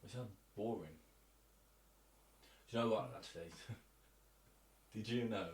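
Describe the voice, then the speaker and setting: A young man from England with a lowish voice laughs easily and swears on a casual football podcast. He sits back from the mic in an echoey room. A faint hum of ambience runs behind him. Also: takes it slowly, darkish tone. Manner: giggly, easily amused, sweary. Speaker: podcast co-host. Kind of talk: casual chat. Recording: roomy, distant mic.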